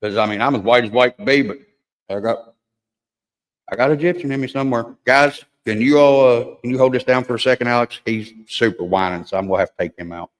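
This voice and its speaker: A man hosting a livestream, telling rambling stories full of crude jokes and curses. His voice is mid-pitched, and it rings out with a long reverb, as if he were in a large hall. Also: fast talker. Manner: crude, joking, rambling storyteller. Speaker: livestream host. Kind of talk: casual chat.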